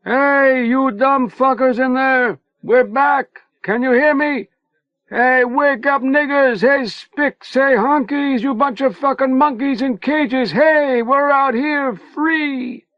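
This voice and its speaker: A man's voice: high-pitched, measured pace, no echo, muffled recording, voice pushed up. A man voicing a wild young man who screams crude taunts over a prison wall, furious and nearly incoherent. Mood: raging.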